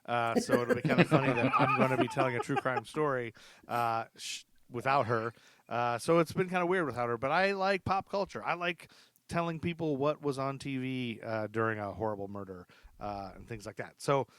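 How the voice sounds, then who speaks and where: A man's voice: medium pitch, a little fast, fairly bright tone, no echo. A man hosting a casual history podcast, chatty and rambling, laughing often. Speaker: podcast host. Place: lives in Charlotte.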